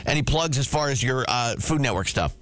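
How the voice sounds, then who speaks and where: A man's voice: mid-range voice, lively intonation, brisk, fairly bright tone, slight background noise. A man hosting a morning radio show, interviewing a guest in an upbeat, gushing way with lots of filler sounds.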